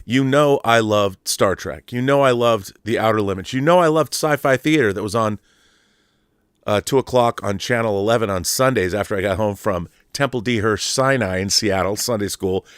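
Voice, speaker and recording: A man's voice: on the low side, normal speed, clean tone, dry recording, studio quality. A middle-aged man from the Pacific Northwest of the United States hosting a podcast, talking through a loose, rambling monologue full of asides and restarts. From Mercer Island, Washington, USA. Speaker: podcast host. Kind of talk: casual monologue.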